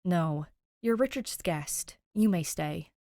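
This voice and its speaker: A woman's voice: fairly low pitch, lively intonation, takes it slowly, dark tone, no room sound. A woman reads as a grumpy storybook boy who holds himself stiffly. He speaks formally and with haughty reserve, in a dry, close recording. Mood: frustrated.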